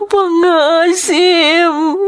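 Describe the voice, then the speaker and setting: A woman's voice: very high voice, fairly even intonation, very slow, heavily acted. A woman acting a burdened young wife and mother in an Ilocano melodrama, earnest and sorrowful, with an Ilocano accent. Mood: tearful pleading. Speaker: drama lead and narrator.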